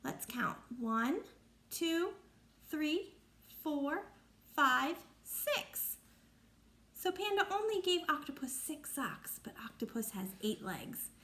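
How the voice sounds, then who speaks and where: A woman's voice: high voice, very animated, slow, sharp tone. A woman reading a picture book aloud to children like a teacher, explaining and asking the listeners questions. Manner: instructive, asks listeners questions.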